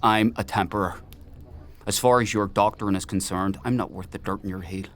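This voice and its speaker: A man as a low-voiced, falsely genial menacing officer. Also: fairly even intonation, natural voice. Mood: quiet menace. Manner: mock-friendly, needling, drawn-out questioning. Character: menacing military paragon, falsely genial, probing and paranoid. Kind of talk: dark fantasy audio drama acting.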